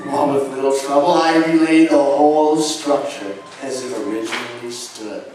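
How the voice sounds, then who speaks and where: A man playing a haunted, unhinged murderer confessing his crime in a halting, theatrical dramatic stage reading of gothic horror. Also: mid-range voice, over music, lightly acted. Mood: coldly deliberate. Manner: halting, theatrical, swinging from hushed to agitated. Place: live show at an amusement park, far from microphone. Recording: very echoey, distant live recording, faint noise.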